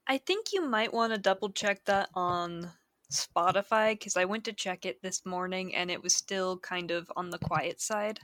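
A woman co-hosting a podcast in casual chat, measured and dryly teasing. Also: fairly low pitch, fairly bright tone, no echo, studio quality. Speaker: podcast co-host.

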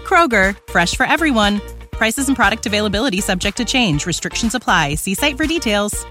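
A woman's voice: medium pitch, lively intonation, brisk, pushed and bright. A woman reading a scripted retail commercial as a voice-over. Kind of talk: scripted retail advertising read. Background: faint music.

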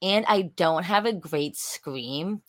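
A woman with a raspy voice, chatting casually as a co-host and peppering her talk with "like". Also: fairly low pitch, animated, takes it slowly. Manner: chatty, lots of "like" fillers and laughs. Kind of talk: casual chat.

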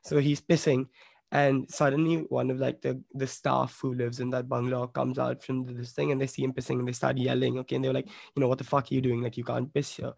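Young man from Bombay, creaky and flat. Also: medium pitch, quick pace, no echo. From Bombay, India. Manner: rambling, swears a lot, many "like" fillers. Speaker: podcast guest. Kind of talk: casual storytelling.

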